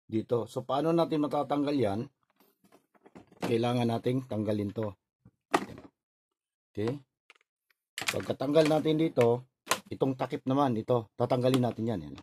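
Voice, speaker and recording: A man's voice: medium pitch, moderate pace, long pauses. Filipino man giving hands-on instructions, noisy recording. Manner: instructional, short step-by-step phrases. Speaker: repair tutorial presenter. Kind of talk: informal hands-on tutorial narration.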